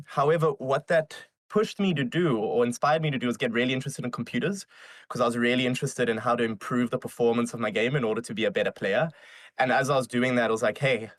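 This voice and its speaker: Energetic young man from South Africa. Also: medium pitch, no echo. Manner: energetic, fast, casual storytelling. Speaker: guest, startup founder. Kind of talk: casual interview answers.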